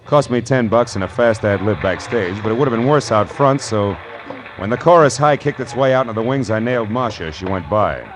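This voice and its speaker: Man playing a wisecracking American gumshoe for vintage radio crime drama, deep-set voice, sardonic and streetwise. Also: fairly quick, over music, natural voice. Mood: wry, brisk. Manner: wry, tough, slangy. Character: hard-boiled, wisecracking male private detective. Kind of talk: 1940s radio noir narration and dialogue.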